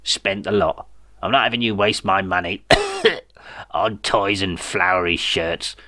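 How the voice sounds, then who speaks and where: Ancient evil dictator, feeble whisper, low, slow, bitter, contemptuous, audiobook villain. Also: male voice, bright, forceful tone, no echo, clean studio sound. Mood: stern, failing. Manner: slow, weary, scornful.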